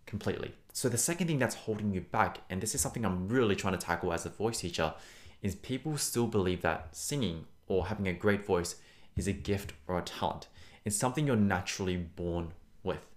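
A man's voice: low voice, expressive, fairly quick. A man who works as a voice teacher and host, talking conversationally to listeners in an encouraging, emphatic and reassuring way. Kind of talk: conversational singing lesson to listeners.

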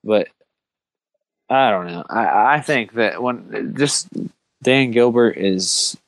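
A man's voice: on the low side, slow pace, no echo, poor audio. A man on a sports talk panel giving earnest, opinionated takes in casual discussion.

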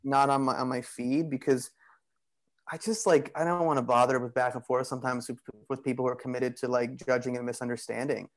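A man talking as a podcast guest in a casual, relaxed, rambling way, full of "you know" and "like". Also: mid-range voice, brisk. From Greek heritage. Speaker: podcast guest, bodywork practitioner. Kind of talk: casual conversational interview.

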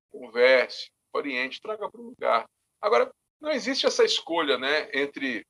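Bahian man, husky and bright-toned, animated storyteller in an interview. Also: on the high side. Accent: Salvador, Bahia. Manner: animated, often says "velho". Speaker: musician and bandleader.

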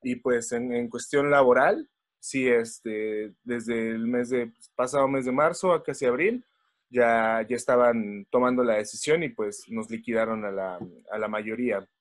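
Mexican man, guest, hesitant speech, video call. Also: medium pitch. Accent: Mexican Spanish. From Mexico City. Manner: halting, many fillers and restarts. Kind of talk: casual chat.

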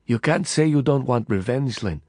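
A man narrating an audiobook voices a bitter refugee consumed by hate, speaking with quiet feverish zeal and American vowels touched by Arabic. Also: medium pitch, expressive, normal speed, no echo, clean studio sound, pitched above the performer's own voice, lightly acted. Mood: insistent. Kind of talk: audiobook dialogue reading.

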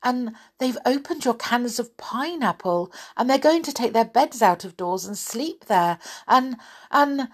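A woman's voice: on the high side, animated, measured pace, voice pushed up. A woman reading a story aloud, voicing a nervous, polite boy who stammers.

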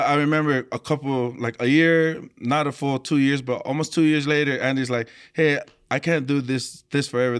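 A man's voice: medium pitch, clear, resonant tone. Reflective man, native Tongan speaker, telling his story. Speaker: church choir leader and worship singer. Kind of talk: personal storytelling in a casual interview.

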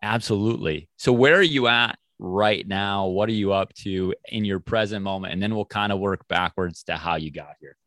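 This is a male podcast host from the Midwest, talking in a casual, rambling way and often restarting his sentences. His voice sits very low, and the recording is dry and close. He sounds relaxed as he chats with his guest. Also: normal speed, few pauses, no echo. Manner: chatty, rambling questions with restarts. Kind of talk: casual interview chat.

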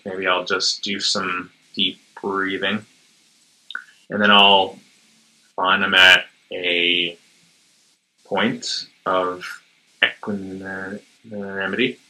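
A man's voice: fairly low pitch, sharp tone, poor audio. A man who stutters, with sound blocks and repeats, talking hesitantly with many fillers in a casual conversation.

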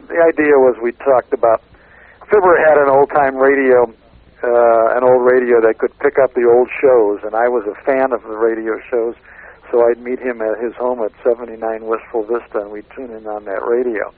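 A man speaks in a casual, explanatory interview style at a middling pitch, looking back on his work. His voice comes through a narrow, phone-like line that trims the highs and lows. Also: steady tone, moderate pace, dry recording. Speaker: radio interviewer and producer as guest.

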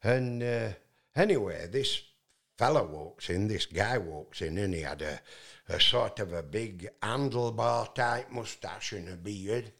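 A loud-voiced man from England spins a meandering anecdote as a guest. His voice sits a little low for a man. He restarts often and fills his pauses with fillers. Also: expressive. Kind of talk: rambling anecdotal storytelling.

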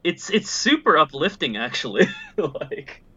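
Bright-voiced rambling man, lo-fi sound. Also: fairly high pitch, huge pitch swings, measured pace, husky. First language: not Japanese. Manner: rambling, filler-heavy, laughs often. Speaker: podcast guest. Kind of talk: casual chat. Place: in Japan.

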